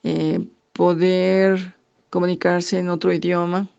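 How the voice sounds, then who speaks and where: Woman, Mexican accent, gravelly, hesitant with fillers, muffled lo-fi recording. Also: lowish voice, level tone, a little mellow. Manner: thoughtful, halting with frequent fillers. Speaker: interviewee, English teacher. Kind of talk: answering interview questions. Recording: dull lo-fi recording.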